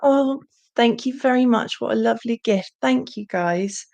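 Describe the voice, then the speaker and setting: A young woman hosting a casual livestream Q&A, with a croaky voice and a chatty manner full of ums. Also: a little high, normal speed. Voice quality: croaky, gravelly. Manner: chatty, warm thanks, frequent ums. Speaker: channel host.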